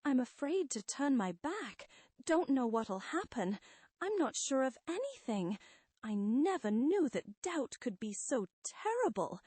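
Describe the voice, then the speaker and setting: A woman's voice: medium pitch, very animated, slow pace, husky, no echo, exaggerated voice. A woman voicing a fearful, servile henchman in an urgent, anxious manner. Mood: pleading. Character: boastful henchman turned fearful, servile follower.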